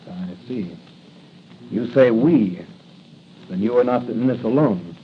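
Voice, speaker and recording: A man's voice: on the low side, a little slow, natural voice. Male actor as masked crime-fighter: bold, earnest, declamatory old-radio delivery, muffled with light noise. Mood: wary, defiant. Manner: declamatory, resolute. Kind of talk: 1940s radio acting. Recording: dull, noisy 1940 radio recording.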